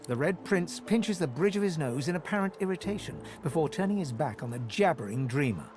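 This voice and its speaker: A man's voice: a little high, animated, a little fast, music in the background, lightly acted. A man delivering scripted, dramatic storybook narration for a fantasy video game. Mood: measured storytelling.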